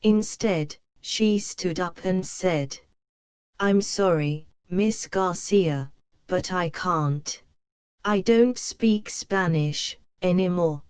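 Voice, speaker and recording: A woman reading a children's picture book aloud, slow and careful for young learners. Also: lowish voice, darkish tone, smooth, dry recording. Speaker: storybook reader.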